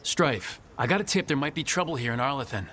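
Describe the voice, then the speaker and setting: A man's voice: mid-range voice, expressive, fast, sharp tone, a little hiss or hum. A man voicing a wry, capable heroic team leader in a video game, dry and composed. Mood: serious.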